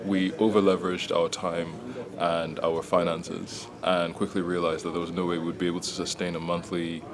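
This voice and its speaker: A very deep-voiced man explains things in a steady, measured way. A crowd murmurs around him in a busy workspace. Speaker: comics company director. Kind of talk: casual interview answers. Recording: crowd chatter behind.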